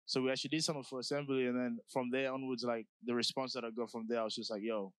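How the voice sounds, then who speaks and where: A man's voice: medium pitch, flat delivery, very fast, no room sound. A young man from South Sudan, talking about his music in a laid-back, slangy way, full of "like" and "you know". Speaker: rapper guest. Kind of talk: casual interview.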